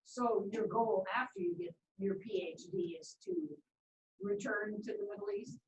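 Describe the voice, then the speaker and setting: A woman's voice: mid-range voice, steady tone, moderate pace, roomy sound, distant mic, poor audio. A woman interviewing a guest, asking short, curious questions.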